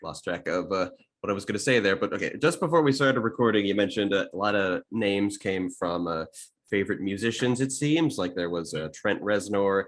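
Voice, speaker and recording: A man with a fairly low voice hosts a casual conversation. He speaks hesitantly, often feeling around for the next word. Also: lively intonation, fairly quick. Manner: hesitant, searching for words. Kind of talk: casual chat.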